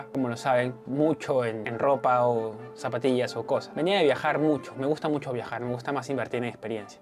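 A young man with a Peruvian Spanish accent, chatting lively and directly to his viewers in a casual vlog. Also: medium pitch. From Peru. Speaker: travel vlogger. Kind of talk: casual vlog talk to camera.

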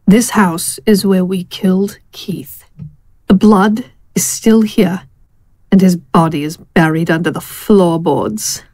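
A woman playing a sly female friend who dabbles in spells, coaxing and drawn-out, in an audio drama. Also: on the low side, fairly even intonation, darkish tone, dry recording. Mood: flirtatious, hushed.

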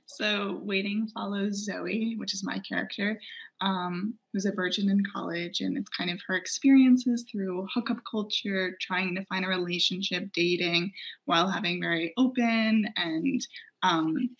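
A woman's voice: mid-range voice, fairly even intonation, measured pace, gravelly, husky, poor audio. A young woman from New York in her twenties, talking about her web series on a video call in a casual, upbeat way. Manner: upbeat, casual with likes and kind-ofs. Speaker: web series creator and actress. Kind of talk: casual interview answers. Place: home room.

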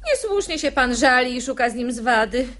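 An actress playing a sharp-tongued, ironic woman of the household in a verse radio play. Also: high voice, lively intonation, normal speed, a little hiss or hum. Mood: mocking. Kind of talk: verse stage comedy performed as radio drama.

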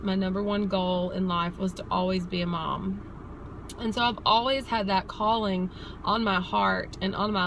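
A woman's voice: medium pitch, poor audio. A woman, talking earnestly and reflectively in a casual personal vlog from inside a car. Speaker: vlogger. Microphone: phone or camera at arm's length. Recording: clear steady car ambience noise.

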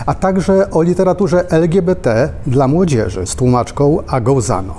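A middle-aged man hosting, announcing a new season of events in a measured, deliberate way in a bookshop cafe. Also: a little high, warm tone, a little hiss or hum. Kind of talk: promotional announcement.

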